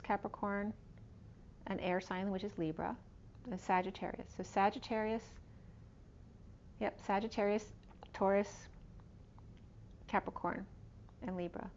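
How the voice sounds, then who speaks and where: A woman giving a casual tarot reading, rambling through cards and star signs, her voice going hoarse. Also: mid-range voice, little pitch movement, a little slow, pauses often, darkish tone, slight background noise. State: losing her voice. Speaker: tarot reader. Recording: dull lo-fi recording.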